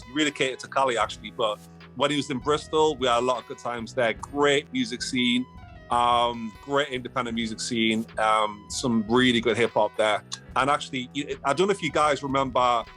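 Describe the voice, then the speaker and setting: An animated man with a Geordie accent talks loosely and casually, circling back and repeating his points. His voice sits at an ordinary middle pitch. Faint music plays underneath. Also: brisk. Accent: Geordie (Newcastle). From Newcastle, England. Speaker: guest. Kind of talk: casual podcast chat.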